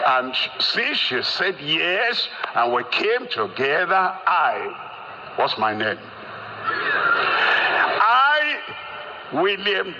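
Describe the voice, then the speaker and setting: Older male preacher, slow deliberate delivery, swooping intonation, rhetorical repetition, slightly muffled recording. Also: high-pitched, heavy background noise. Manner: deliberate, rhetorical, repeating phrases for emphasis. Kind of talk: emphatic sermon to a congregation.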